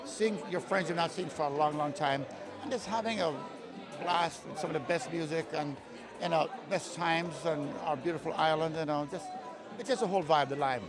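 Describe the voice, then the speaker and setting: A man's voice: on the high side, normal speed. Enthusiastic man over crowd noise. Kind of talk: casual interview.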